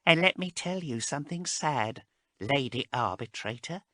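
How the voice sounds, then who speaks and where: A man voicing a small, fearful male abhuman slave who talks in a hushed, pleading way, pitched well above his own voice. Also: lively intonation, moderate pace, no echo, clean studio sound. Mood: resigned.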